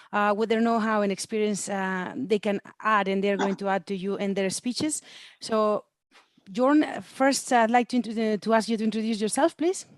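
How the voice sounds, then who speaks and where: A woman's voice: medium pitch, dry recording. A woman moderating an online event in an informal, chatty, encouraging way. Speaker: webinar moderator. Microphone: computer mic on video call.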